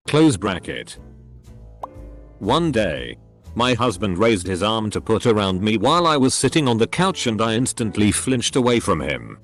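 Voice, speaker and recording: A synthetic male narrator reading online posts aloud, with stiff delivery that speaks punctuation marks as words. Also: lowish voice.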